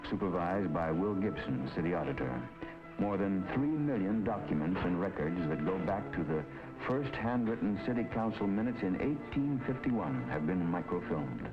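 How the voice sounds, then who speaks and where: A man narrating a documentary in a measured, solemn scripted delivery. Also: sits low. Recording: dull lo-fi 1950s film soundtrack. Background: film score under the voice.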